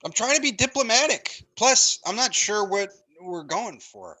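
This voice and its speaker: A man's voice: high voice, very animated, a little slow, pushed and bright, exaggerated voice, low-quality recording. A man playing a mild, diplomatic young man among friends in a screenplay table read. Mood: hesitant, conciliatory.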